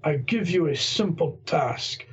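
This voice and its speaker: A man voicing a menacing, aristocratic male vampire lord, speaking in a slow, deliberate and formal cadence with a husky voice. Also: a little high, lively intonation, muffled recording, full character voice. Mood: cold reproach.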